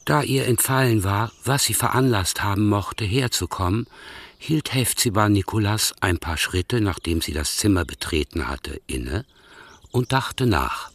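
A man narrating a radio play in a measured, wry storytelling manner. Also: lowish voice, lively intonation, a bit bright, no room sound.

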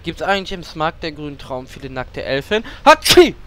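Man playing a shrill, high, overdramatic hero. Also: big pitch swings, brisk, a bit bright, clean tone. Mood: brash. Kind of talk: parody fantasy audio drama acting.

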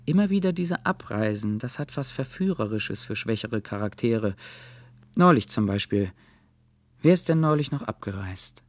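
A man acting a husky, dreamy young gentleman. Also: mid-range voice, lively intonation, moderate pace, no echo, natural voice. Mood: feigning casualness. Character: dreamy, lovesick, polite young bourgeois man. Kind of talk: German radio play acting.

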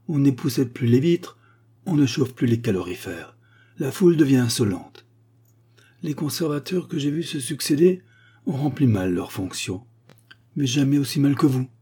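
A man's voice: mid-range voice, dark tone, breathy edge, voice pushed up. A man voicing an irritable ancient Egyptian mummy who scolds and insults in a raised voice. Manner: scornful, peevish scolding.

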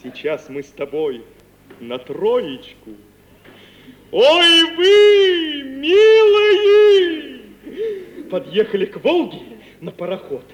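A young man acting a lively, boastful merchant's son in an old theatrical radio play, telling things in an animated way. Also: very high voice, extremely slow, long pauses, muffled recording, heavily acted. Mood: dreamy, excited. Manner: animated storytelling, bursting into laughter and exclamations. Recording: noisy 1950 radio play recording.

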